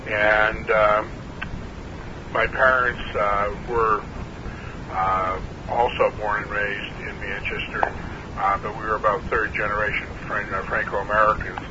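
A man's voice: fairly low pitch, little pitch movement, measured pace, muffled recording, noisy background. A man in his sixties from New Hampshire, recalling his life in an oral history interview, hesitant with many fillers and a frog in his throat. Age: 60s.

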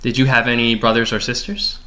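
A man's voice: medium pitch, little pitch movement, brisk, sharp tone. A young man, a graduate student, conducting an oral history interview with polite, formal questions.

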